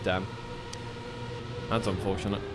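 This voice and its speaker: A man's voice: fairly low pitch, little pitch movement, a little slow, very noisy. A man doing live racing game commentary as a caster, mixing play-by-play with informal chat and thinking aloud. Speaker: esports caster on a co-stream. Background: racing game car sounds.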